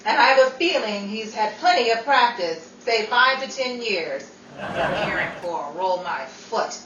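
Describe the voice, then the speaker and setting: A woman playing a breezy, quick-witted, playful radio actress who teases her friend in a 1940s-style radio comedy. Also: medium pitch, animated, a bit bright, recorded from a distance, muffled recording. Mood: indignant, teasing. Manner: playful, theatrical. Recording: noisy, echoey room, off the mic.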